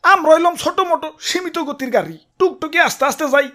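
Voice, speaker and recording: A woman's voice: sits high, animated, fast talker, a bit bright. A woman with a Bangladeshi accent voicing a cheeky young auto-rickshaw driver in a comic cartoon, indignant and defensive, with music behind.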